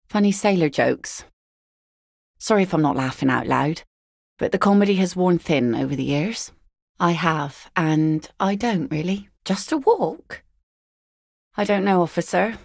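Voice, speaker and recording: A weary, sardonic net mender who has heard every joke. Her low, gravelly voice stays dry and teasing. The close studio recording has no room sound at all. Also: darkish tone, no room sound, heavily acted. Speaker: voice actress. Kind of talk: video game voice lines.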